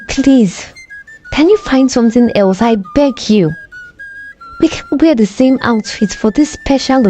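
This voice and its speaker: A woman's voice: on the high side, mellow. A woman voicing an earnest, firm young twin sister who wants to be different, in a folktale.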